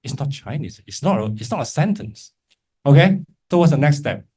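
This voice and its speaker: A man's voice: on the high side, lively intonation, a little fast, a little mellow, husky. A man teaching a class as a Buddhist monk, informal and encouraging, often checking in with short tag questions. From Malaysia. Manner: encouraging, informal, frequent check-in tags like "right?" and "okay?". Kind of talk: interactive lecture to a class with remote listeners.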